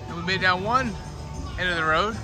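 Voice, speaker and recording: A man's voice: sits high, a little fast, a loud crowd. A man in his forties chatting to viewers while filming a walking tour outdoors, camera at arm's length, with jokes and asides. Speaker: travel vlogger. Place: outdoors at a tourist shopping street. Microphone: handheld camera at arm's length. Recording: outdoor walk with loud music behind.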